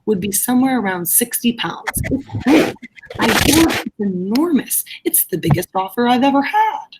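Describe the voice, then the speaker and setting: Woman reading arrogant gentleman teacher, noisy recording. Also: on the high side, sing-song, normal speed, pitched above the performer's own voice. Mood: calculating. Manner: imperious, scornful. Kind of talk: reader's theatre play reading.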